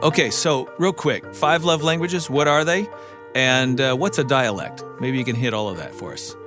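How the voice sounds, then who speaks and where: A man hosting an interview, asking questions in a quick, conversational way. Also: medium pitch, over music. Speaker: podcast host.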